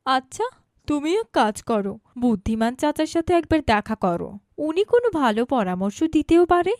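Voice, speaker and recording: A woman's voice: high-pitched, very animated, brisk, a little mellow, no room sound, clean studio sound. A woman voicing a stern, reproachful mother of a teenage girl in a cartoon. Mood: advising. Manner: reproachful, commanding. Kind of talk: cartoon dialogue acting.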